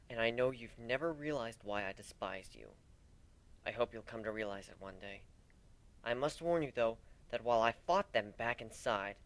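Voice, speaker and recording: A man's voice: mid-range voice, close to an everyday voice. A man voicing a solemn, remorseful female enemy through a letter in a story.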